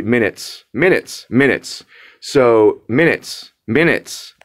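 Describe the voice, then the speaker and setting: Low-voiced man ranting casually. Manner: exasperated, mocking, repeats words for emphasis; frequent restarts and fillers. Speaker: vlogger. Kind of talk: casual rant to camera.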